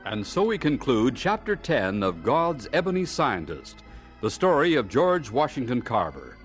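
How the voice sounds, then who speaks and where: A man's voice: mid-range voice, huge pitch swings, moderate pace. A man reading scripted radio narration as an announcer. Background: organ music.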